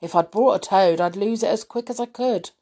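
A woman's voice: mid-range voice, fairly quick, dark tone, pitched above the performer's own voice, close to an everyday voice. A woman voicing a blunt, chatty young wizard boy.

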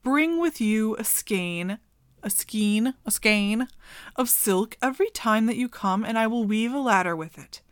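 A naive young maiden from a storybook, earnest and innocent in how she speaks, as a woman reader gives her lines. Her voice lifts a little higher than the narration. The sound is close and dry. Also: expressive, measured pace, clear, resonant tone, no room sound.

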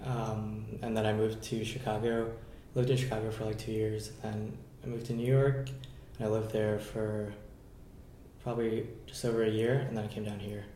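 A man's voice: fairly low pitch, flat delivery, normal speed, dark tone, roomy sound, slight background noise, low-quality recording. A young man speaking as a guest, hesitant and matter-of-fact as he recounts where he has lived.

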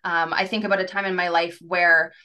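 A woman's voice: lowish voice, flat delivery, brisk, sharp tone. A woman co-hosting a podcast as a career coach, talking in an earnest, reflective way in long, flowing lists. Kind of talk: conversational podcast talk.

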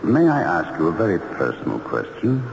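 An actor playing a courteous, lovelorn male ghost in an old-time radio drama, speaking formally and gently. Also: low-pitched, huge pitch swings, normal speed, creaky, heavily acted. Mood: earnest, hesitant. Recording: muffled, noisy old radio, long echo.

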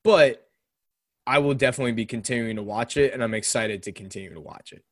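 A young man co-hosting a casual chat about a TV show, animated and quick to laugh. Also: medium pitch, no room sound, clean studio sound. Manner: animated, laughs often, does mock voices in retelling. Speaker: podcast co-host. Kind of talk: casual chat reviewing a TV episode.

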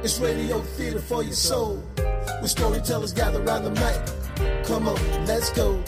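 A woman's voice: fairly high pitch, flat delivery, unhurried. A woman singing and chanting a radio show theme. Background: loud music.

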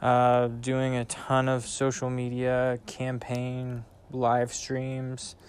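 Youth pastor, male, slow and thoughtful, smooth clear voice, hesitant. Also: mid-range voice, monotone. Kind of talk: casual conversation. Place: outdoors in a backyard by a bonfire.

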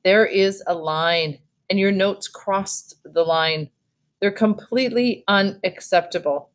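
A woman voicing a stern but measured male school official in an audiobook, firm and deliberate. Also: mid-range voice, natural voice. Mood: stern, admonishing.

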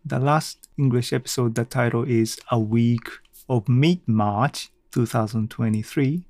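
Slow, halting man with a creaky voice. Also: mid-range voice, a little mellow, no room sound. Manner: halting, hesitant, searching for words. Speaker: podcast host. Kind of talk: casual solo audio blog.

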